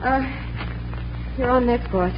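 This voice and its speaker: Sassy wisecracking stage assistant gal, slangy, old hissy muffled radio show. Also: female voice, fairly high pitch, takes it slowly. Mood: wry, sassy. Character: wisecracking, loyal female magician's assistant. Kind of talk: 1940s radio drama acting. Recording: muffled, noisy vintage radio recording.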